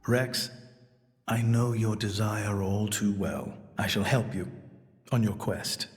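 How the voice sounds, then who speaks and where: An actor playing a serene, ancient religious leader in a video game, speaking formally and graciously in measured English. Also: male voice, lowish voice. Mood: gentle, grave. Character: serene, courteous male religious leader, ancient but youthful-looking. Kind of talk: video game cutscene acting. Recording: long hall reverb.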